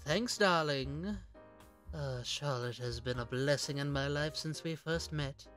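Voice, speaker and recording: A man voicing a courteous, genteel gentleman hunter with a formal, old-fashioned charm in a comic dub. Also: a little high, measured pace, soft background music. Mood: warm, affectionate, then reflective narration. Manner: polite, formal, endearments.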